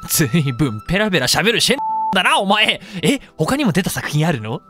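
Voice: medium pitch, very animated, over music, close to an everyday voice. A performer playing a cheeky, incredulous young man with slangy, exclamatory speech in a comedic voice drama. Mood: cheeky, curious. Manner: slangy, quick-reacting, exclamatory.

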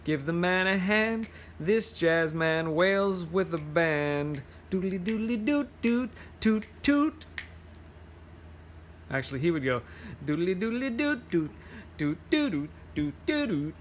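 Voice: mid-range voice, lively intonation, takes it slowly, a little hiss or hum. A person reading a picture book aloud to children, playful, with singsong rhymes, scat sounds and casual explanations.

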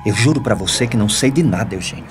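Actor as a low-voiced Brazilian fatherly priest. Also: animated, fast talker, close to an everyday voice. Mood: grave. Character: calm, fatherly, cautious male priest. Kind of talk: Brazilian radio soap opera acting. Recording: clear music under the voice.

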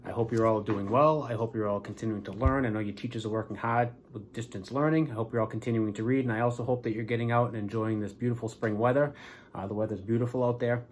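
A man in his forties, a police lieutenant, reading a storybook and speaking to schoolchildren in a plain, deliberate way. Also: medium pitch, fairly even intonation, a little hiss or hum. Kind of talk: children's storybook read-aloud and address to pupils. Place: small office, indoors.

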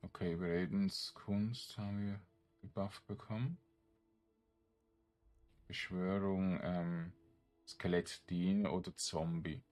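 A man's voice: deep voice, fairly even intonation, takes it slowly, pauses often, darkish tone. A man giving casual gameplay commentary, thinking aloud in short, loose fragments. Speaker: gamer streaming a let's play.

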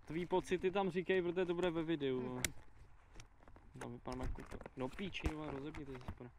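A man's voice: a little high, steady tone. A young man chatting outdoors among motorcycles, bossing friends around with joking crude slang. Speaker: motovlogger. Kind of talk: casual chat. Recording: loud outdoor engine noise. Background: motorcycle engines.

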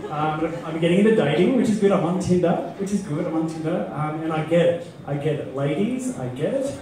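Middle-aged woman comic, deadpan and halting, recorded far off in an echoey club. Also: sits low, a little hiss or hum. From Australia. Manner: deadpan, halting with many ums. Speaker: stand-up comedian. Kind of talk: stand-up comedy set. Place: comedy club stage before a live audience. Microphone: handheld mic, filmed from far in the crowd. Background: audience laughter.

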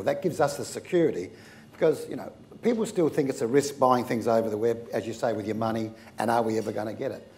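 An older man talks on a discussion panel with a gravelly edge to his voice. He explains his points through conversational anecdotes. A lapel mic picks him up over faint room noise. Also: mid-range voice, darkish tone. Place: event studio with branded backdrop.

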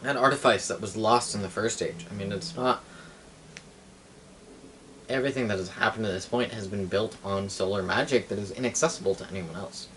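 A young man casually explaining things like a storyteller, halting and correcting himself as he goes, picked up by a camera across a room. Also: lowish voice, fairly bright tone, slight background noise, rough recording. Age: young adult. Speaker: storyteller and game master. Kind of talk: casual rambling explanation of fantasy game lore. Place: small cluttered living room, indoors.

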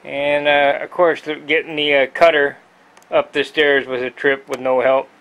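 Man, slightly high voice, halting casual talk, lots of uh and throat clearing, home workshop, camera mic. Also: level tone, a bit bright, low-quality recording. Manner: rambling, halting with many fillers and throat clearing. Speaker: model airplane builder, channel host. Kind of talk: casual shop tour vlog. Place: cluttered home hobby workshop, indoors.

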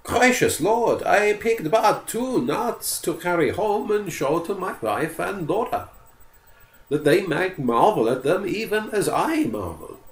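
A frightened, overly polite forester pleading in a high, strained voice, as a man reading the story puts him on. The words come out carefully formal, with a roomy echo around them. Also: sing-song, measured pace, exaggerated voice.

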